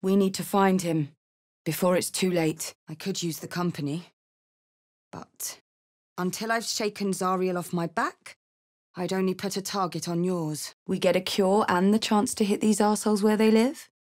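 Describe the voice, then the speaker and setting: A woman's voice: mid-range voice, fairly even intonation, normal speed, a little mellow, natural voice. A woman voicing a blunt, battle-hardened tiefling warrior in a video game, sardonic but loyal, with a wry delivery. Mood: resolute. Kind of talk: video game character voice lines.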